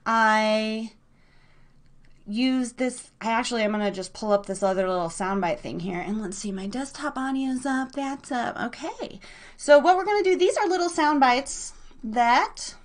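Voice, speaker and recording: A woman with a high-pitched voice hosts a live internet radio show. She is bubbly and excitable, rambling in casual slang as she talks straight to her online audience.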